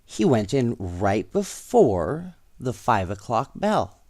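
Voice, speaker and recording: A man reading children's stories aloud in an expressive, playful way, with casual asides to young listeners. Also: medium pitch, slow, dry recording, clean studio sound. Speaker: storyteller.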